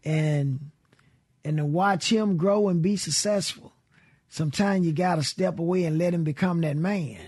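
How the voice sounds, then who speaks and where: A middle-aged man from with a raspy voice, telling stories in a relaxed radio interview. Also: on the high side. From Mississippi. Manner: relaxed storytelling with Southern grammar and drawn-out pauses. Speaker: guest blues musician.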